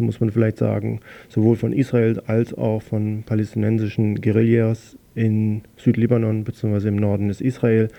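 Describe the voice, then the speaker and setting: A man presenting a daily news program on a community radio station, reading his introductions in a measured, slightly halting way. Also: fairly low pitch, creaky, warm tone, dull audio.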